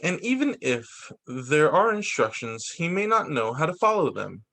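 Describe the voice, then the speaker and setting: A man with a fairly high voice reads a picture book to children in a teacher's story-time style. His pitch swings widely as he goes, with expressive, deliberate emphasis and occasional restarts. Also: vocal fry. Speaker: teacher reading picture books.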